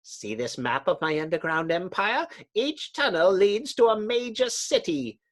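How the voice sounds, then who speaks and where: A crazed subterranean villain from a comic book. The voice is pitched high and swings wildly up and down, grandiose and taunting as it boasts of power. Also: male voice, big pitch swings, a little slow. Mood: menacing triumph. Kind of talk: dramatic comic book reading.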